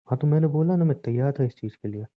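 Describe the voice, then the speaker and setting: A man's voice: mid-range voice, fast, no room sound, lightly acted. A man acting as a devoted, reassuring young husband in a conversational romantic audio drama, earnest with a touch of teasing bossiness. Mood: tender, reassuring. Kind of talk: romantic phone-call style audio drama in Hindi. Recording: muffled, narrow phone-like audio.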